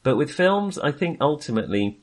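Man, very animated, rambling podcast chat. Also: fairly high pitch. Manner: rambling, halting, self-deprecating. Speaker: film podcast co-host. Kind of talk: casual film discussion.

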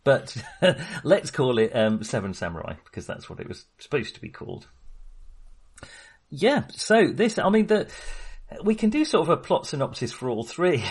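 A man's voice: mid-range voice, big pitch swings, moderate pace, gravelly, husky. A man talking casually and informally about films as a podcast co-host, with a chatty, mildly sweary manner. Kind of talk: casual film discussion.

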